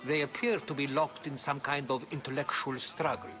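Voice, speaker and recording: A man's voice: medium pitch, steady tone, normal speed, music in the background, lightly acted. An actor playing a genial, learned older Greek man, wry and eloquent, in a video game cutscene. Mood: amused.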